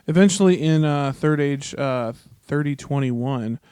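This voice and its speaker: A man's voice: fairly high pitch, unhurried, dark tone, smooth, no echo, studio quality. A man co-hosting a podcast in casual, slangy and jokey talk about fantasy lore. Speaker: podcast co-host.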